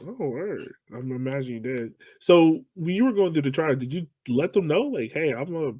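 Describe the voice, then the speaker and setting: A man's voice: fairly high pitch, animated, normal speed, warm tone, no echo. A man hosting a podcast in an informal, conversational way. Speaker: podcast host. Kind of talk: casual interview chat.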